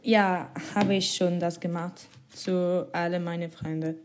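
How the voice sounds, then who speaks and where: A young woman from Switzerland answering interview questions in hesitant learner's German with many pauses and small laughs. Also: on the low side, fairly even intonation, a little mellow. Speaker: guest, former exchange student.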